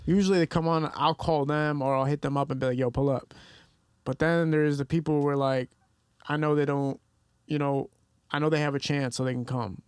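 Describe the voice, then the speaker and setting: Quick-talking young podcast host. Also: male voice, a little high, fairly even intonation, darkish tone, clean tone, no room sound, clean studio sound. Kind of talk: casual podcast conversation.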